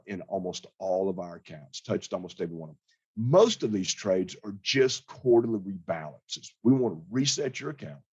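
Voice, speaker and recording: A hoarse man with a lowish, husky voice talks through a casual client update as a financial advisor. He explains things in a plain-spoken, conversational way. The sound is dry and close, on a slightly dull, lo-fi recording. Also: darkish tone, dry recording.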